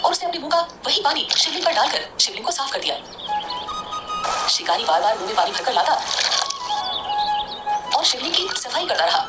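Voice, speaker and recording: High, animated female storyteller over music. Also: a little fast, pauses often. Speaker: narrator. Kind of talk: scripted devotional story narration.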